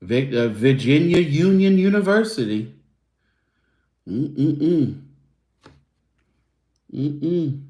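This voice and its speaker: A man's voice: fairly high pitch, big pitch swings, drawn-out words, pauses often, warm tone, roomy sound. A man hosting his own show, chatting loosely and casually with his viewers. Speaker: show host. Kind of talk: casual livestream chat.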